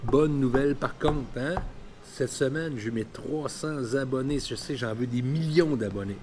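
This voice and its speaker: A man's voice: medium pitch, measured pace, background noise. A man of about fifty with a Quebec French accent, telling a personal story candidly and colloquially in a vlog. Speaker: vlogger.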